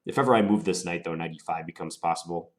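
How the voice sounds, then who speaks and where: Very deep-voiced man with a cold. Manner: deliberate, musing, unhurried analysis. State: stuffed up from a cold. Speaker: chess player commentating his own game. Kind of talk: thinking aloud while playing.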